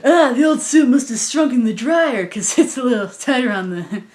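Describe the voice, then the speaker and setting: A woman's voice: fairly high pitch, lively intonation, moderate pace, a little mellow, pitched above the performer's own voice, close to an everyday voice. A woman voicing an exuberant, bumbling, self-important man who fancies himself a ninja, in a cartoon. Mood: bumbling bravado. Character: boisterous, boastful male karaoke club owner, self-styled ninja.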